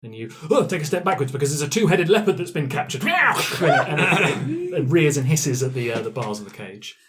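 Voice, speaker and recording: A man's voice: fairly high pitch, wildly swooping pitch, normal speed, crowd noise. A man narrating an improvised tabletop fantasy game as game master, in a descriptive storytelling manner.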